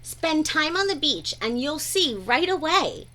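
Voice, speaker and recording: A woman reads a picture book aloud for young children with a bright, crisp-edged voice. Her pitch swoops through a lively range, and she takes her time over each phrase like a teacher. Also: a little slow. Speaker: read-aloud storyteller.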